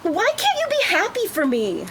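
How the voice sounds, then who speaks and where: A high, swooping voice for a brash, proud, indignant plus-sized lady , acted out by a woman at a script read. She is theatrical and emphatic, with a sharp tongue, over faint background noise. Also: huge pitch swings, moderate pace, heavily acted. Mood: defiant. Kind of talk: screenplay table read.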